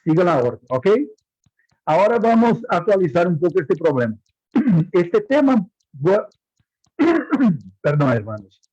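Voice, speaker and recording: A man teaching a Bible course in Spanish as his second language, halting and repeating words as he lectures and asks his students questions. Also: high-pitched, very animated, dark tone, slightly rough, no room sound. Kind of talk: online class lecture. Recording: muffled lo-fi audio.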